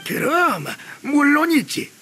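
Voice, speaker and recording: An elderly village carpenter from a video game, gruff yet good-humored. His voice is strikingly high and forcefully bright, with blunt, folksy phrasing. Faint noise sits behind him. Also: sing-song, slow, full character voice. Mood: gruff, matter-of-fact. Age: older. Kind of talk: video game character acting.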